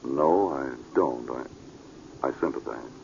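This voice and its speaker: An actor playing a reserved, upright police sergeant in a measured, formal manner for a vintage radio drama. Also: male voice, extremely low pitch, vocal fry, a little mellow, exaggerated voice. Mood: sympathetic, firm. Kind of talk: 1940s radio drama acting. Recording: muffled, noisy vintage radio recording.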